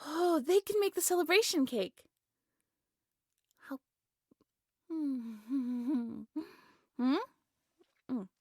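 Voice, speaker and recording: A woman acting a cheerful, affectionate young woman in an intimate roleplay audio drama, with a playful, teasing, expressive delivery. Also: sits high, drawn-out words, pauses often, no echo, studio quality, full character voice. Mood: happy, playful.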